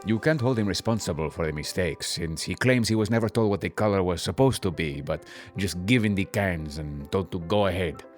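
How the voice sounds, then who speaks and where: Man playing a very low, stern village magistrate. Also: a little fast, faint music behind, close to an everyday voice. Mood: indignant. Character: stern male village magistrate, blunt and indignant. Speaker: voice actor. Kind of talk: dramatized audiobook character acting.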